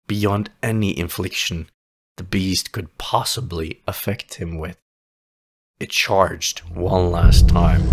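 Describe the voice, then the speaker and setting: Very deep-voiced man narrating dark fantasy. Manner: theatrical, solemn, deliberate phrasing. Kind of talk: dramatic scripted fantasy audio play narration.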